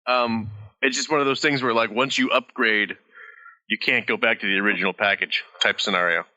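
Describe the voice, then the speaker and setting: A man's voice: on the low side, dull audio. A man co-hosting a podcast, bantering casually and laughing often. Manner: laughs often, quick and lively. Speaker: podcast co-host.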